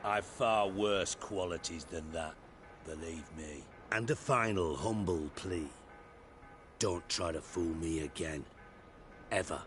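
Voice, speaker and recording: An actor playing a proud, menacing spymaster in a video game, with a wordy, theatrical, sarcastic manner. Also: male voice, deep voice, slow, soft background music. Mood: sardonic. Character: sardonic, menacing male spymaster, cultured and proud.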